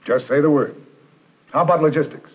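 Husky, brisk older army general. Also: male voice, fairly high pitch. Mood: brisk, agreeable. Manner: brisk, short military phrases. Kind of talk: 1960s training film acting. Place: meeting room, indoors. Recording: dull old film soundtrack.

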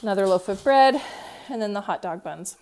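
A woman's voice: mid-range voice, animated, a little fast, a little mellow. A woman in her thirties chatting casually through a home cooking vlog voice-over, with light laughs. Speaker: family vlogger.

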